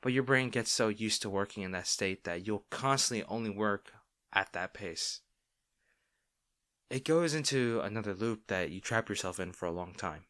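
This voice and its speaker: A man playing a caring boyfriend in an intimate audio roleplay, talking tenderly and with gentle insistence to his partner. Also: on the low side, moderate pace, natural voice. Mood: soft, understanding. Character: caring, gently firm young boyfriend soothing an overworked partner.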